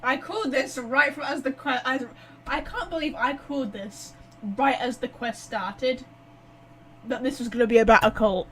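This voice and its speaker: A woman's voice: high-pitched, normal speed, a bit bright, rough recording. A woman giving casual let's-play commentary as a gaming streamer, reacting bluntly and with some swearing.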